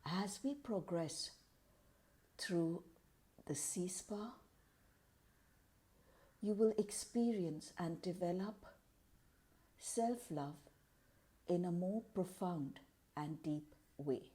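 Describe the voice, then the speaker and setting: A woman leading a guided meditation in a calm, measured, deliberate way, pausing between short phrases. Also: fairly low pitch.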